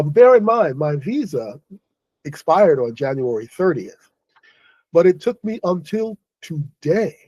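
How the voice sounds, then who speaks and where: A middle-aged man from New City, speaking to his viewers in a halting, casual monologue and sounding weary after a stressful day. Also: fairly high pitch, mellow, dry recording, rough recording. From New York City. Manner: halting, many restarts and self-corrections. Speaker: online English host.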